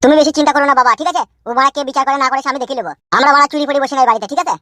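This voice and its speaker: An actor voicing a crude, sulky young cartoon husband with a rural West Bengal Bengali accent, defiant and swearing. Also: male voice, extremely high pitch, racing, bright, forceful tone, no room sound, studio quality. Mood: stubborn, irritated. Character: stubborn, crude young village husband and son. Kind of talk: comic cartoon dubbing.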